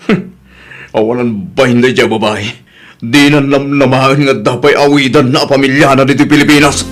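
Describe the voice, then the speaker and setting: A man acting a wronged father in an Ilocano radio-style drama. His mid-pitched voice has an Ilocano accent and carries weariness, hurt and resolve. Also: steady tone, normal speed, a bit bright, lightly acted. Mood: shocked, hurt. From Philippines.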